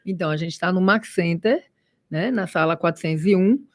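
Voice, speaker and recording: A woman's voice: lowish voice, a little fast, no room sound. An ear doctor, a woman, explains things for a radio audience. She talks in careful, hesitant restarts, and her voice sounds dry and close to the microphone. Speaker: ear, nose and throat doctor. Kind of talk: expert interview guest.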